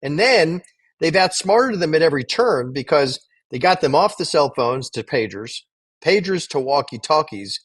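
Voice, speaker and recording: A man with a husky, somewhat high voice co-hosts a discussion show. He talks conversationally, laying out his commentary point by point. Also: big pitch swings, moderate pace. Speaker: podcast co-host.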